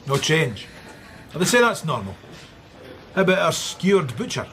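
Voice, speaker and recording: An actor playing a sardonic, blunt Scottish police inspector in a radio drama. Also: male voice, a little high, sing-song, moderate pace, a bit bright, soft background music. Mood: dry briefing. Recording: faint background noise.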